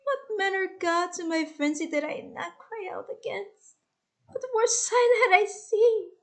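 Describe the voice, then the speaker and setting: A woman's voice: very high voice, lively intonation, a little slow, voice pushed up. A woman playing an anguished Trojan warrior who recounts loss in an epic poem, dramatic, emotional and urgent. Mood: grieving, frantic.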